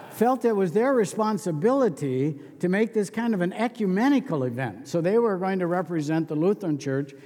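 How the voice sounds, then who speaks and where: A man's voice: sits high, animated, normal speed, a little mellow, long reverb tail. A man preaching a sermon as pastor, telling stories in a folksy, conversational way.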